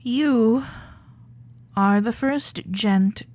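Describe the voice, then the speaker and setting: A woman voicing a frank, flustered young Gilded Age society lady in a romantic ASMR audio drama, speaking earnestly in formal period phrasing with breathy asides. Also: a little high, drawn-out words, darkish tone. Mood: shyly smitten.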